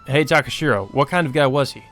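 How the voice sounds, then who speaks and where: A man's voice: medium pitch, fast talker, fairly bright tone, natural voice. A man playing a dry, exasperated, terse male detective from a visual novel. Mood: dry, puzzled. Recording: music behind the voice.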